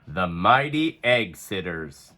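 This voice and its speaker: A man reading a children's picture book aloud with expressive, animated storytelling. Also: low-pitched, slow pace.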